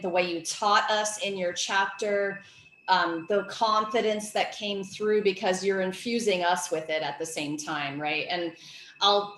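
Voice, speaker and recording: A woman host with a lowish voice leads a casual conversation and praises her guests effusively. The room sounds echoey, with faint noise underneath. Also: fairly bright tone. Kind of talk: casual podcast chat.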